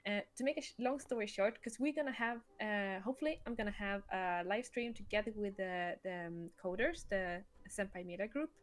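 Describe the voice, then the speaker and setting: Woman, medium pitch, casual livestream host, explanatory, slightly coy answers. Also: a little fast, hardly any pauses. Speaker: livestream host, game creator and author.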